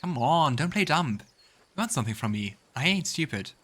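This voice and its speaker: A man's voice: a little high, big pitch swings, moderate pace, a bit bright, voice pushed up. Man voicing a defiant, suspicious young tiefling. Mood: confrontational. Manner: blunt, slangy, accusing.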